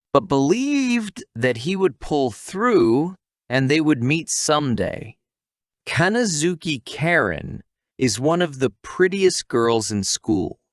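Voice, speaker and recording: Lively male recap narrator, dry close sound. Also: medium pitch, no echo. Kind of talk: scripted anime recap narration.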